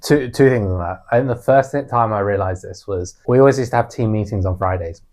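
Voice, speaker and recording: A man's voice: lowish voice, brisk, a little mellow. A man with a British accent co-hosting a talk podcast, sharing personal experiences conversationally and reflectively, often voicing remarks as quotes. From United Kingdom. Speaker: podcast co-host.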